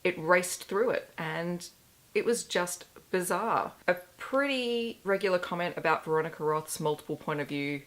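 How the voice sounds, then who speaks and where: A woman in her thirties with a low, hoarse voice that sounds worn thin. She chats casually as a book vlogger, candid and quick to poke fun at herself. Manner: chatty and candid, with self-deprecating asides. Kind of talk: casual book wrap-up vlog.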